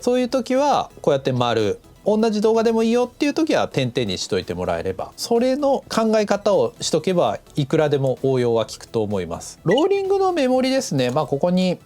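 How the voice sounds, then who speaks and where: A man teaches in a casual, instructive way, walking through each step. His intonation moves up and down freely, keeping the explanation lively. Music plays behind his voice. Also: on the high side. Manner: instructive, demonstrating step by step, counting aloud. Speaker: animation director teaching a lesson. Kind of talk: casual explanatory tutorial talk. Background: background music.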